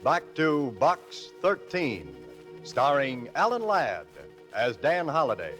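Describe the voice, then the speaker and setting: Slow, dramatic male radio announcer over loud music. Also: mid-range voice, very animated. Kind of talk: formal 1940s radio announcing. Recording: old radio recording under music.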